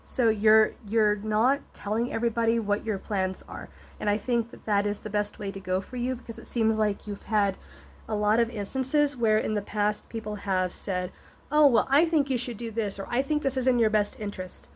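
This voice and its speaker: A woman's voice: medium pitch, steady tone, normal speed. A woman giving a casual, encouraging tarot reading, rambling and searching for words, with a slightly scratchy voice and a congested nose. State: congested nose, getting over an illness. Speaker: tarot reader and host.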